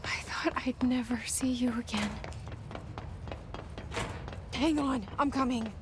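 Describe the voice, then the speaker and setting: A woman acting a haunted, determined young woman in a video game, speaking with halting intensity. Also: fairly high pitch, noisy background. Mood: searching, tense.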